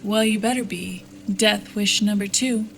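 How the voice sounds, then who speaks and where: A woman's voice: medium pitch, steady tone, a little slow, creaky, background noise. An actress playing a sly, hard-bitten female bounty hunter in an audio drama, cool, sardonic and taunting. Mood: dry. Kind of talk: dramatic audio fiction acting. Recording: music under the voice.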